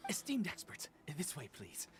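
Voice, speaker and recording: A woman's voice: lowish voice, wildly swooping pitch, moderate pace, faint music behind. A woman playing a polite, eager organiser in a video game, addressing people formally and courteously. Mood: welcoming.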